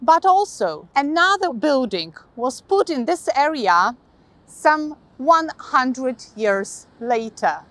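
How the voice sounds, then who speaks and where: A woman in her thirties with a Polish accent, giving a scripted historical presentation outdoors to camera on a lapel mic, in a measured, deliberate way. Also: sits high, big pitch swings, a bit bright, no room sound. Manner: measured, slow, deliberate delivery. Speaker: guide. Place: outdoors by a modern building.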